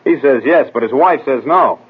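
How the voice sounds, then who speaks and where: A defensive baseball player in a vintage radio play. He talks in brash, ungrammatical slang with a husky voice. The recording is thin and boxy. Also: medium pitch, moderate pace. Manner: slangy, uneducated grammar. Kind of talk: 1940s radio drama acting. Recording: tinny old radio broadcast.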